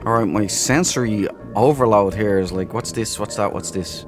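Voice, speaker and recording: A man's voice: on the low side, moderate pace. A man hosting a casual let's play, sweary and exclamatory as he talks to himself. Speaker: gaming channel host. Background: game music.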